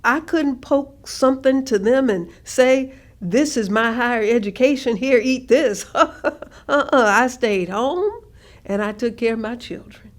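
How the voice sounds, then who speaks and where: Proud, defiant working mother played by an actress, high-pitched, very slow, deliberate emphasis, staged-reading monologue. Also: expressive. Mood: proud, resolute. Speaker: stage actress performing oral history.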